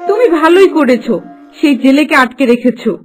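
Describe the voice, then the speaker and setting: A woman's voice: high-pitched, lively intonation, a little fast. A woman playing a devoted, cunning young golden fish wife in a Bengali fairy-tale cartoon, with a theatrical delivery. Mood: sweet, coaxing. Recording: faint music behind the voice.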